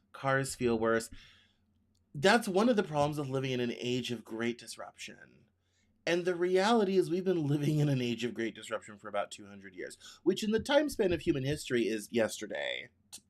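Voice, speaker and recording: A man in his thirties hosts a news show in a loose, conversational way. He rambles and thinks out loud, often stopping to correct himself mid-sentence. His voice sits at an ordinary middle pitch for a man. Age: 30s. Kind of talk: casual spoken news commentary.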